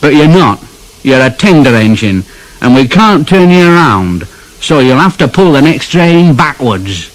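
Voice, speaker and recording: A man's voice: mid-range voice, sing-song, a little slow, low-quality recording. A man voicing an exasperated male train driver who scolds in a fed-up way.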